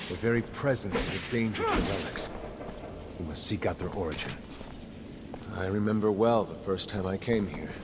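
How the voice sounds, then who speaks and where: An actor playing a grave, world-weary, middle-aged master of a secret order in a video game, speaking in a measured, instructive way. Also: male voice, deep voice, very noisy, close to an everyday voice. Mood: solemn, disillusioned.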